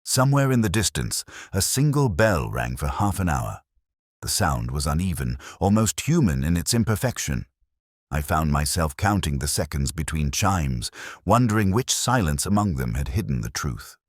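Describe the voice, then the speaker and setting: A man narrating as a brooding, weary middle-aged detective, in a measured, reflective and wry way. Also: a bass voice, big pitch swings, dry recording, clean studio sound, heavily acted. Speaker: first-person narrator. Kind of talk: scripted radio-drama narration.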